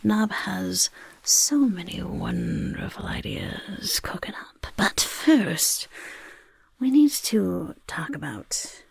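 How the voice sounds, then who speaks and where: A woman acts out a chaotic little goblin overlord for an ASMR roleplay. The voice is husky and often whispered, unhurried yet swooping up and down. The goblin fusses and bosses eagerly, repeating words. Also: medium pitch, lively intonation, no echo, exaggerated voice. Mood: gleeful welcoming. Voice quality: raspy, creaky whisper. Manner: speaks of self in third person, fussy, repeats words. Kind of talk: soft-spoken ASMR personal attention roleplay.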